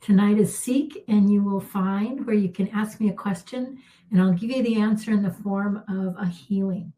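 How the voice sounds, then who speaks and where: A middle-aged woman, a healer, leading a calm guided spiritual healing with unhurried talk and soft pauses, her voice slightly worn. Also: medium pitch, rough recording. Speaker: intuitive healer hosting a live session.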